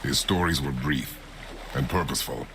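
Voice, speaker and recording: An actor playing a stern, stoic warrior father who talks in terse, formal commands with a gravelly voice in a cinematic game. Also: extremely low pitch, moderate pace. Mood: stern, reserved. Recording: noisy water ambience.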